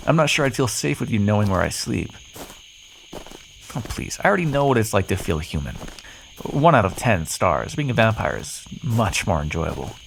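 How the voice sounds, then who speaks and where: A man's voice: fairly low pitch, sing-song, normal speed, creaky, a little hiss or hum, poor audio. A man playing a cocky, flirtatious, sardonic vampire in an ASMR roleplay, teasing the listener with pet names, sarcasm and swearing. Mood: wry, flirtatious.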